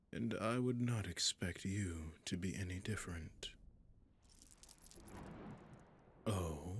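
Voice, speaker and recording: An imperious dragon king acted by a man with a very low voice. He speaks very slowly and formally in a husky, breathy near-whisper. He is possessive and seductive with quiet menace, as in a fantasy audio roleplay. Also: exaggerated voice. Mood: haughty, intrigued. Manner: slow, deliberate, haughty, archaic formal phrasing. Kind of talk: roleplay audio drama, addressing the listener.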